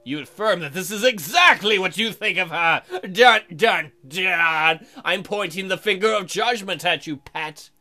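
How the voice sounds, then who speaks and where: A woman's voice: lowish voice, a little slow, hardly any pauses, sharp tone, soft background music. A woman hosting a let's play, reading aloud and commenting casually in a playful, sarcastic way.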